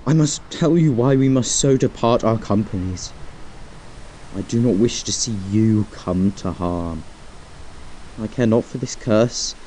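A man's voice: fairly low pitch, measured pace, dark tone, a little hiss or hum, natural voice, low-quality recording. A man playing a guilt-torn male companion, speaking in solemn, old-fashioned dialogue for a drama. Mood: pleading. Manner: solemn, old-fashioned speech rising to desperate pleading.

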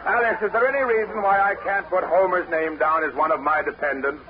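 A muffled, noisy 1940s radio recording. A man plays a middle-aged father in a family comedy, dry and exasperated, his voice pitched high with irritation. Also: fairly even intonation, a little fast, lightly acted.

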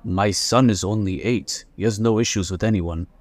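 A man's voice: lowish voice, moderate pace, no room sound, natural voice. A man playing a blunt, sarcastic, hostile father in a sci-fi audio drama. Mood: suspicious, dismissive. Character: defiant, gruff father in a space commune.

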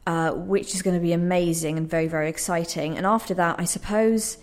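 A woman with a slightly low voice talks as a playwright in a radio interview. She is earnest and reflective, explaining things in a conversational way. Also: steady tone, fairly quick.

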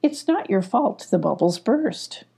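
Storybook role: reassuring female mentor, woman reader, soft-edged and husky, calm, gentle, explains patiently. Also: mid-range voice, a little slow, heavily acted. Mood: calm, explanatory.

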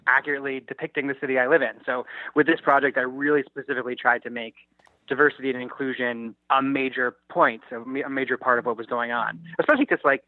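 A man's voice: medium pitch, fairly even intonation, quick pace, no echo. Man on a thin phone line. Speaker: guest writer. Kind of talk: casual phone interview. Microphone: telephone call-in.

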